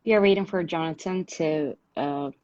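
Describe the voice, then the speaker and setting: A woman's voice: low voice, normal speed. A young woman from Turkey, casually interviewing a guest over a video call and filling her talk with "like" and "uh". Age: young adult. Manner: chatty, leans on "like" and "uh". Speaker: interviewer on a livestream. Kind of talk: casual chat. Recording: dull lo-fi livestream audio.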